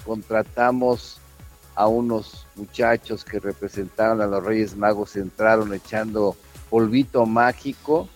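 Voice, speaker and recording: A man's voice: fairly low pitch, steady tone, unhurried, faint music behind, poor audio. A middle-aged or older man with a Mexican accent, chatting casually and repeating words for emphasis. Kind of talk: casual reminiscing chat.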